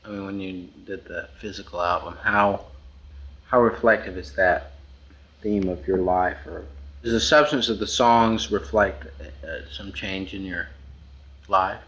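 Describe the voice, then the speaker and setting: A man in his thirties asking questions in a halting, casual interview style, often rephrasing himself. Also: low-pitched, steady tone, fairly bright tone, a little hiss or hum. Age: 30s. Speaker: magazine interviewer. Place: in a house, over lunch. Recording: dull, lo-fi old interview tape.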